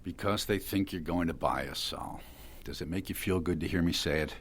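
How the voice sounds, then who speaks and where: Deep, husky, hard-nosed dealmaker. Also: male voice, fairly quick. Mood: guarded, businesslike. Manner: blunt, condescending, controlled. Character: cool, hard-nosed younger male tech dealmaker. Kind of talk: audio drama acting.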